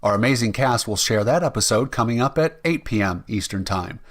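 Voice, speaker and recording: A man's voice: mid-range voice, expressive, a little fast. A man reading a scripted show outro as the announcer.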